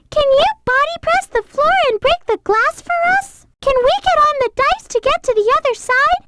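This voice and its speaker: A woman's voice: extremely high pitch, very noisy. A woman voicing a small, polite young rabbit girl in a video game, with a girlish, cutesy voice and an earnest, childlike manner. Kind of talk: video game character voice clips.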